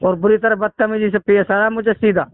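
A man's voice: sits high, little pitch movement, brisk, no echo. A man with a Mewati accent complaining over the phone in a rambling, aggrieved way, swearing at times. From Mewat region, India. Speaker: caller making a complaint. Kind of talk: phone conversation, recounting a grievance. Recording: narrow-band, tinny phone call recording.

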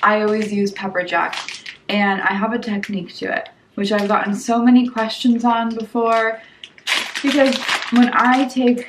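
A woman's voice: medium pitch, creaky, reverberant, noisy background. A young woman in her twenties chatting casually through a home cooking vlog, hungover, giggly and full of likes and ums. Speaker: cooking vlogger. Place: home kitchen and dining room, indoors.

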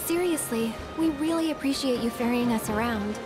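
Actress playing a high-voiced sweet young woman. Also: normal speed, close to an everyday voice. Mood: bright, friendly. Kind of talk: video game cutscene acting. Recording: loud music behind.

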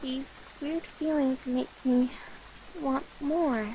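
A shy, clingy catgirl familiar, acted by a woman for an ASMR roleplay. The voice is very high and slow, with flustered, halting, pleading delivery. There is some faint noise behind it. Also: steady tone, dark tone, low-quality recording. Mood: shy, affectionate.

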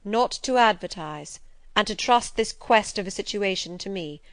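Woman voicing a gruff, domineering, wry gentleman. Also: medium pitch, expressive, moderate pace, no room sound, lightly acted. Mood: coaxing. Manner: emphatic, theatrical, commanding. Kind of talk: dramatised classic novel reading.

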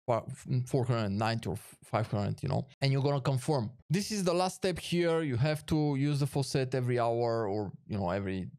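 A man with a mid-pitched voice walks listeners through a casual screen tutorial. He thinks aloud a little hesitantly as he goes, recorded close and dry with no room sound. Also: expressive, fairly quick, few pauses, a little mellow, no echo. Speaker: tutorial host.

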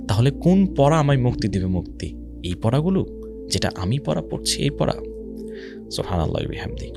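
Male Islamic speaker, Bangladeshi accent, medium pitch. Emphatic social commentary with rhetorical questioning and English words dropped in, over clearly audible music. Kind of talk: religious social commentary talk. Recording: music behind the voice.

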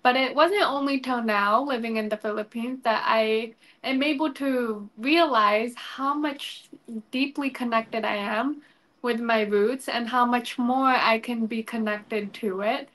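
A young woman answers interview questions in an earnest, polished way. Her voice sits a little high. She talks from a room over a video call. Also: a little slow, fairly bright tone. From United States. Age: 20s. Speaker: pageant candidate, guest. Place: indoor room.

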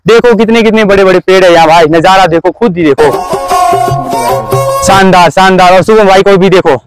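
A young man talks to camera in a casual travel vlog. He is excitable and playful, recorded outdoors on what sounds like a phone camera. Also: high-pitched, normal speed, over music, low-quality recording. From Himachal Pradesh, India. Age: 20s. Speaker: travel vlogger. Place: outdoors on a forested mountain trail.

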